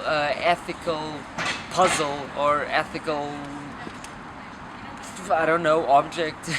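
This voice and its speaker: A man rambling philosophically off the cuff, searching for words with hesitations and some swearing. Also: on the high side. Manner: halting, searching, profane. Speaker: documentary film subject. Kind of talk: unscripted rambling philosophical musing. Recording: noisy outdoor street with traffic.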